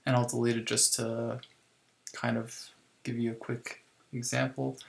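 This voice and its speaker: A man giving a casual software tutorial step by step, thinking aloud informally as he works, in a creaky, gravelly voice. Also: medium pitch, completely flat delivery, a little slow. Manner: informal, improvised, thinking aloud while working. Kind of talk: casual screen-recorded tutorial, explaining step by step.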